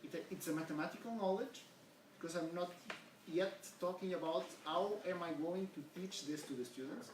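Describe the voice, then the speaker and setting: Portuguese guy presenting research to a small group, kinda high voice, roomy echo, faint hiss. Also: moderate pace, hardly any pauses. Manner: earnest, poses questions to the audience. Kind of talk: academic seminar presentation. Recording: echoey room, from far off, faint hiss.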